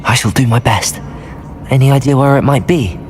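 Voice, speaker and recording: A man's voice: mid-range voice, moderate pace, natural voice. A man playing a brave, polite young wizard student in a video game, well-spoken and earnest. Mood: concerned, determined. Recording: music behind the voice.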